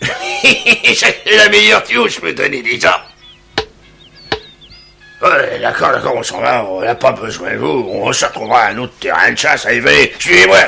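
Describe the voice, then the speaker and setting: An actor voicing a brutish, boastful prehistoric hunter chief who talks in broken speech in a cartoon dub. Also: male voice, mid-range voice, huge pitch swings, normal speed, music in the background, heavily acted. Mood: mocking. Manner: broken speech, gruff mocking laugh.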